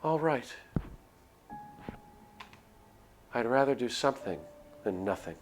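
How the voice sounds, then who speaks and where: A man's voice: medium pitch, animated, brisk, voice pushed up, lightly acted. A man voicing a brave but nervous young village beauty in a visual novel, earnest and a little hesitant. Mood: resolute. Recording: music under the voice.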